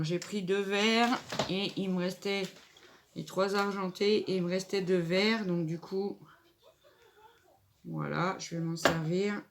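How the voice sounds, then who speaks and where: A woman's voice: lowish voice, noisy background. A woman with a cold and a nasal voice, chatting casually as she makes a table decoration and thinks aloud. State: stuffy nose from a cold. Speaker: craft vlogger. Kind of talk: casual crafting tutorial.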